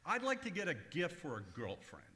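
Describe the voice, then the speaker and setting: An actor playing a fussy, easily exasperated comedian in an old-time radio comedy, speaking hesitantly with hems and pauses. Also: male voice, sits high, wildly swooping pitch, sharp tone, exaggerated voice. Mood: polite. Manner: hesitant, hemming and drawn-out delivery. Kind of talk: 1940s radio comedy acting before a live audience. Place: large echoey museum room, live stage. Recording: echoey hall recording of stage show.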